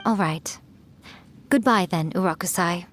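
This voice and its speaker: An actress playing a centuries-old fox shrine maiden in a video game, sly, coy and self-satisfied. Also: female voice, fairly low pitch, a little slow, slight background noise, lightly acted. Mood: gentle, assured. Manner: coy, playful, smug. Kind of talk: video game character acting.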